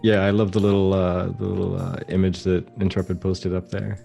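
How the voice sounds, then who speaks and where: Male podcast co-host, deep mellow voice, laid-back jokey delivery. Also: level tone, a little fast, vocal fry, faint music behind. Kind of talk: casual podcast chat.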